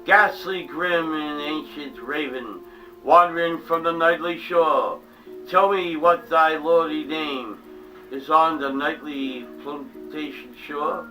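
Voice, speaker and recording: A man's voice: fairly high pitch, steady tone, slow pace. Man reading a poem theatrically over eerie music. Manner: dramatic, hushed drops in volume, stumbles and restarts. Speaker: reader of a poem. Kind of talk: theatrical dramatic poetry reading. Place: small home museum room. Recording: distant mic with eerie music behind.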